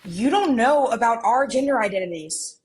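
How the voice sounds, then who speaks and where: A woman's voice: fairly high pitch, lively intonation, moderate pace, a little mellow, slightly rough. A woman playing an earnest, socially conscious, awkward millennial twin in a comedy table read, with casual phrasing.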